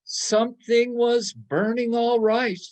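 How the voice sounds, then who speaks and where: A man reading literary prose as a storyteller, in measured, deliberate phrases. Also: very high voice, fairly even intonation, a little mellow. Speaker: reader in a church readers theatre.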